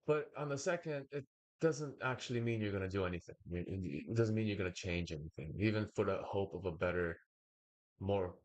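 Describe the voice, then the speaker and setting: A young man, raised speaking Bulgarian first, giving a slow, wordy commentary full of pauses as he reacts to a video. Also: sits low. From United States. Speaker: reaction streamer. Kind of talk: casual commentary.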